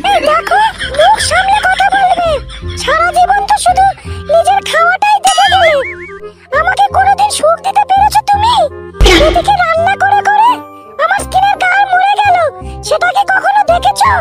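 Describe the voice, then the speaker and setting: Piercingly high brash cartoon wife. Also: female voice, fairly even intonation, moderate pace. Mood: defiant, annoyed. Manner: brash, complaining. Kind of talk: comic cartoon dialogue. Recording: loud tinkly children's music behind. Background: tinkly children's music.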